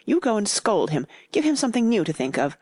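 Woman playing a husky, flustered nurse. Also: mid-range voice, very animated, a little mellow, no room sound. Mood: urgent. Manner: hurried, urging, anxious. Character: flustered, frightened grown-up children's nurse.

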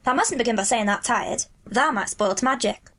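A woman reading an audiobook, voicing a cheerful, gentle country boy. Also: on the high side, expressive, moderate pace, voice pushed up. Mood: eager.

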